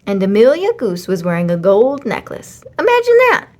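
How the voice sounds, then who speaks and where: Woman as a carriage horse, storybook, gossipy, amused, exclaiming, animated. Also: medium pitch, moderate pace.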